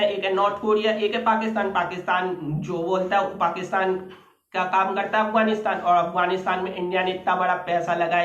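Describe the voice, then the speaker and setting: Guy rambling opinions at viewers, kinda high voice, muffled cheap mic in an echoey room. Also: fairly bright tone. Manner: rambling, opinionated, addresses listeners directly. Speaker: host of a political commentary channel. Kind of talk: unscripted political commentary monologue. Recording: echoey room, dull lo-fi microphone.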